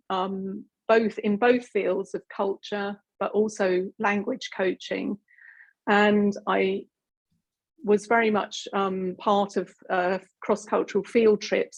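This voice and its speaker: A woman from Britain with a husky voice gives an online presentation. She talks in a loose, chatty way, full of "um" and restarts. She is heard over a video call. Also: mid-range voice. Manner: chatty, many fillers and restarts. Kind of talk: webinar presentation.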